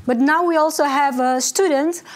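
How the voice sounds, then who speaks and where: A woman's voice: high voice, normal speed. A woman co-hosting a studio show, asking guests questions with some hesitant fillers. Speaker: co-host. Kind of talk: studio interview.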